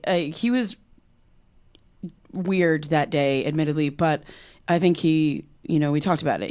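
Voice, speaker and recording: Low-voiced, hesitant young woman, played by an actress. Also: a little mellow, lightly acted. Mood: hesitant, apologetic. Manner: halting, hesitant, rambling. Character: anxious, sleepless young woman recording a personal audio diary. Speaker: lead actor in a fiction podcast. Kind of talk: naturalistic audio drama acting, recorded diary.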